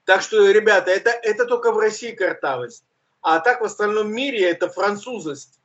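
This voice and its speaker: Guy co-hosting a news chat, burry r, high-ish voice, dull lo-fi stream sound. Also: brisk, a bit bright. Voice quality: uvular R, burred. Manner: chatty, self-mocking, with fillers. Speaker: co-host, journalist. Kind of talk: casual news commentary chat.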